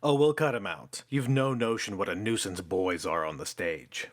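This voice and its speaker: A man's voice: sits low, moderate pace, lightly acted. A man playing a dismissive theater manager in a stage play, with a blunt, offhand way of talking.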